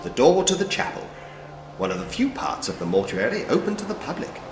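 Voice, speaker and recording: An actor playing an inquisitive, wryly humorous investigator in a Victorian ghost story adventure game, musing to himself theatrically. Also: male voice, a little high, wildly swooping pitch, a little fast. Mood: musing, curious. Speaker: player character in a point-and-click adventure game. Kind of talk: scripted game voice acting, first-person observations. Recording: echoey hall reverb, music underneath.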